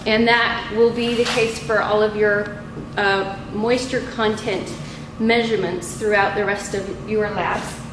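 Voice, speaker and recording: A middle-aged woman with a slightly high voice teaches a hands-on lab procedure. She is methodical and halting, taking her time over each step. The camera's microphone picks her up from a few feet away, with clear noise behind her. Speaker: college lab instructor. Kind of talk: instructional lab demonstration, unscripted. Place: small indoor lab room. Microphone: camera mic a few feet away. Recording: echoey room with clear background noise.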